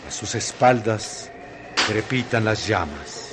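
A man narrating a literary radio drama in solemn, measured phrases. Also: on the low side, lively intonation. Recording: noisy track with ambient effects.

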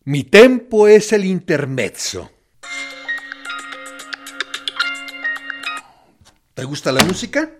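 An actor playing a smug military interrogator in a radio play, speaking in a theatrical, sardonic, mock-courteous way with quiet menace. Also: male voice, high voice, big pitch swings, faint music behind. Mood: suave, insinuating. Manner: deliberate, sardonic, condescending, with theatrical pauses. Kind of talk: theatrical radio drama monologue.

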